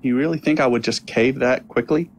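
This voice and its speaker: An actor playing a wry young stage magician in an audio drama, talking in a sarcastic, conversational way. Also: male voice, mid-range voice, brisk, a little hiss or hum, close to an everyday voice. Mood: guarded, sarcastic.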